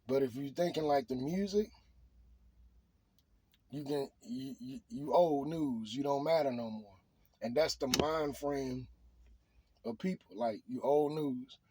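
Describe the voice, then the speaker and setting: A man's voice: mid-range voice, dark tone, husky, no echo. A middle-aged man talking off the cuff in an opinion monologue, preachy and emphatic, often repeating his phrases.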